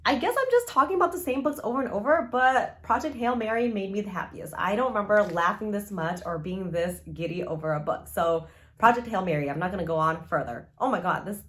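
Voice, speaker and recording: A woman's voice: mid-range voice, big pitch swings, brisk, fairly bright tone. A woman chatting casually as a book vlogger, gushing and rambling informally with frequent asides.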